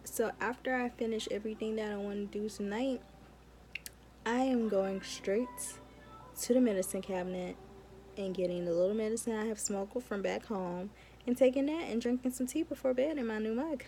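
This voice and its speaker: A woman's voice: fairly high pitch, normal speed, dark tone, slight background noise. Casual young woman chatting vlog-style. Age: young adult. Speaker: law student vlogger.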